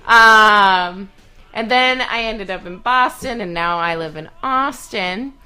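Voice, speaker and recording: A woman's voice: medium pitch, takes it slowly, sharp tone, clear, resonant tone, poor audio. A young adult woman speaking candidly in a casual podcast chat, earnest with laughs and fillers.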